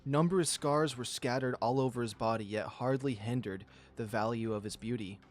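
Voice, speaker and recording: Mid-pitched man narrating a story. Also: moderate pace. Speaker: visual novel reader and channel host. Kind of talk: dramatic storytelling narration with casual commentary.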